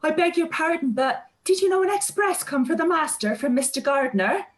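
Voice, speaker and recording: A woman acts a servant in a period radio play. Her voice is high, and she speaks hesitantly, with anxious deference to her betters. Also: lively intonation, normal speed. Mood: flustered. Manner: hesitant, apologetic. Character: flustered, deferential household maid. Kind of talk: radio play live read.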